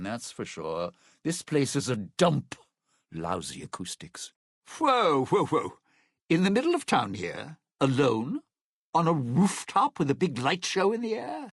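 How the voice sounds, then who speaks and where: Cynical lounge entertainer, video game survivor, smug menace, showbiz sarcasm, hugely varied intonation, close dry recording. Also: male voice, medium pitch, no room sound. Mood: jaded grumbling. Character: slick, cynical male lounge singer turned scheming survivor. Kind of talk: theatrical video game character acting.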